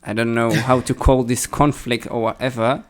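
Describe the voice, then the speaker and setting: A man's voice: medium pitch, level tone, moderate pace. A young man with a Hebrew accent tinged with British English, co-hosting a casual podcast discussion, thoughtful and hesitant, often restarting his sentences. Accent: Hebrew-accented English with a slight British tinge. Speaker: podcast co-host.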